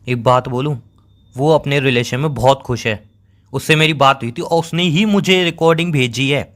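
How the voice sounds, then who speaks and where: A man's voice: medium pitch, normal speed, fairly bright tone, close to an everyday voice. A man playing a hurt, accusing young boyfriend in a dramatized breakup phone call, pleading and sarcastic and repeating himself for emphasis. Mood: bitter, accusing. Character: hurt, accusing young boyfriend confronting his cheating girlfriend.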